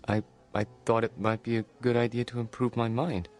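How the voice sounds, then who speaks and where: An actor playing a timid, sheltered, lonely man in his thirties in a radio drama, with a creaky voice and a hesitant, meek, halting delivery. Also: medium pitch, little pitch movement, a little mellow, soft background music, natural voice. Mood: meek, uneasy. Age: 30s.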